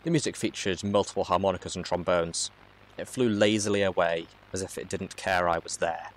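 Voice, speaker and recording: A man reading fan fiction aloud in an expressive storybook narration style. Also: deep voice, brisk, a little hiss or hum, poor audio.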